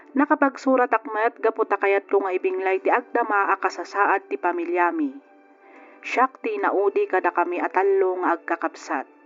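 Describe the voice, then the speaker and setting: A man's voice: high-pitched, fairly bright tone. Male narrator, Ilocano accent; scripted letter-reading for radio drama, muffled sound, music underneath.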